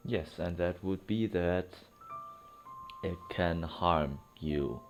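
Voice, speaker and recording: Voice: deep voice, takes it slowly. A game streamer giving casual commentary while playing, muttering asides and thinking aloud. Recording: game music behind. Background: video game soundtrack and dialogue.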